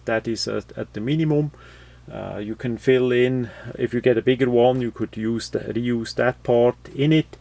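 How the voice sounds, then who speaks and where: A man giving an unscripted, matter-of-fact product review, explaining things in a halting way with frequent pauses. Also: medium pitch, fairly even intonation, gravelly, mellow. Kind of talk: unscripted gadget review commentary.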